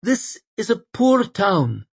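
A man's voice: high-pitched, big pitch swings, slow pace, gravelly, breathy edge, pitched above the performer's own voice, heavily acted. A man voicing an earnest, idealistic young priest who pleads passionately. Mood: earnest pleading. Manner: pleading, impassioned.

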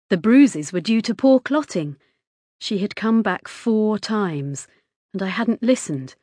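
A woman reading a first-person story as an audiobook narrator, in a measured, inward storytelling manner. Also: mid-range voice, darkish tone, no echo, clean studio sound.

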